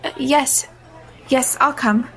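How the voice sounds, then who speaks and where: A wary young gothic heroine, with a high voice. She speaks politely and carefully, with some hesitation, as in an audio drama. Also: fairly even intonation, takes it slowly, creaky, a bit bright, full character voice, rough recording. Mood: uneasy. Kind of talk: audio drama acting with narration.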